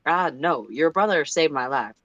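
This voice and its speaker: A reader voicing a middle-aged male former president, halting and stately, in a story read aloud. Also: medium pitch, animated, fairly bright tone, dry recording, muffled recording, lightly acted. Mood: measured, solemn.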